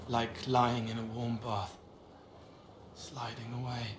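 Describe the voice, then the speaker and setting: A man plays a compassionate young male spirit in a fantasy game. He speaks very slowly in broken, haunted phrases at a medium pitch. The sound is roomy, with clear noise behind it. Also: lightly acted. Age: young adult. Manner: halting, fragmented, murmured phrases. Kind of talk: dramatic video game acting.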